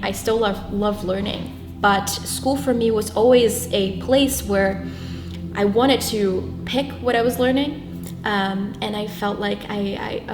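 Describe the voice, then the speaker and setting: Young brazil-born woman, portuguese her first language, earnest halting interview talk, lots of restarts, roomy echo, music going underneath. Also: mid-range voice. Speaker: guest.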